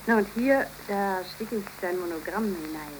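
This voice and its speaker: Actress as sweet eager young seamstress, 1930s german radio play, old slightly noisy recording. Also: female voice, medium pitch, moderate pace, natural voice. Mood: earnest, hopeful. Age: young adult. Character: cheerful, devoted young seamstress in love.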